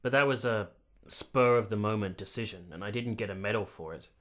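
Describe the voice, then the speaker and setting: An actor playing an earnest, articulate army captain who has been to war, in a radio play. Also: male voice, lowish voice, a little fast, fairly bright tone, lightly acted. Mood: sombre, reflective. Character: earnest, candid young army captain, reflective war veteran. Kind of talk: radio play narration and dialogue.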